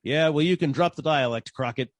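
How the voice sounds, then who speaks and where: A man's voice: a little high, a little fast, no room sound, lightly acted. A man playing a wry, wisecracking 1940s private detective, narrating his case in old-time radio style. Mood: sardonic. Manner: dry, wisecracking.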